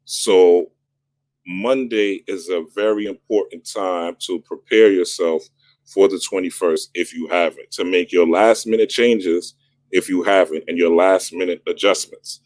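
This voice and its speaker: Deep-voiced man, dry close recording. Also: steady tone, unhurried, darkish tone, no room sound. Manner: repetitive, preacher-like emphasis. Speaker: spiritual astrology channel host. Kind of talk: casual motivational monologue.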